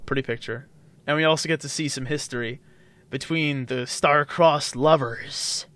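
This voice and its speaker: A man's voice: a little high, moderate pace, pushed and bright. A man giving casual, chatty, joking gameplay commentary in a rambling way, as on a let's play.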